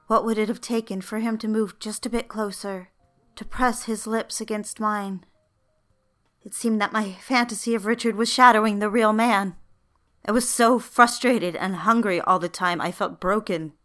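A woman's voice: mid-range voice, steady tone, normal speed, a bit bright, natural voice. A woman playing a yearning secretary, confiding her diary in scripted first-person narration. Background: music.

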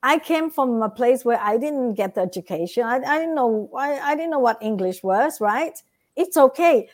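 A woman's voice: fairly high pitch, animated, moderate pace. A woman with a Vietnamese accent, a guest giving earnest motivational advice and often checking in with "right?". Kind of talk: motivational interview talk.

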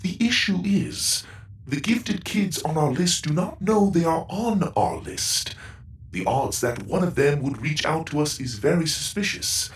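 A man's voice: on the high side, animated, unhurried, roomy sound, voice pushed up. A man voicing a prim, precise robot. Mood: measured. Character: polite formal male robot conductor.

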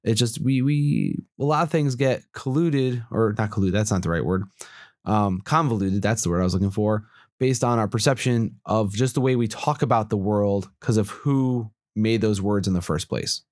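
A man's voice: fairly low pitch, normal speed, a little mellow, no room sound, clean studio sound. A man congested from allergies, co-hosting a casual discussion and musing as he corrects himself. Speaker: podcast co-host.

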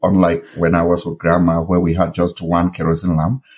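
Man from Cameroon, forties, podcast guest, earnest storyteller, deep voice, monotone. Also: moderate pace. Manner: earnest, storytelling with fillers and restarts. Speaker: podcast guest, nurse and public health expert. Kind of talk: conversational interview.

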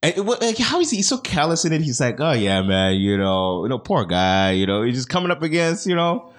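A man's voice: mid-range voice, big pitch swings, brisk. A young man co-hosting a casual chat, rambling with many fillers and frequent laughs. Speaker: podcast co-host.

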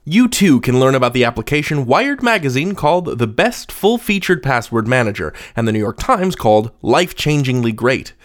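A man's voice: medium pitch, sing-song, moderate pace, few pauses. A man hosting a podcast, articulate and wordy, moving between scripted intros and conversational interviewing.

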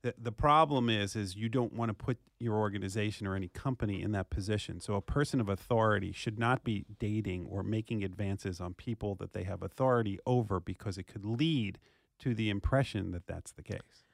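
A man co-hosting a sports talk show, arguing his opinions forcefully and repeating phrases for emphasis. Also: on the low side, a little fast, gravelly, dry recording, clean studio sound.

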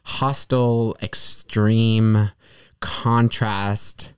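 A man's voice: lowish voice, level tone, drawn-out words, clean tone, no echo, studio quality. A young man from New York in casual conversation, rambling with lots of "like" and restarts. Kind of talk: casual podcast chat.

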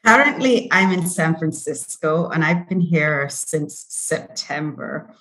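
A woman's voice: sits low, animated, takes it slowly, fairly bright tone, poor audio. This is a woman. She speaks as an interview guest, giving a personal account in a conversational style. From Barbados. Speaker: podcast guest, corporate event organizer.

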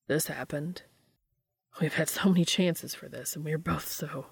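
A woman voicing a gruff but bashful man in an audio story, soft-spoken and casual, with slangy wisecracks. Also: low-pitched, measured pace, no echo, full character voice. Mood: tender, glad.

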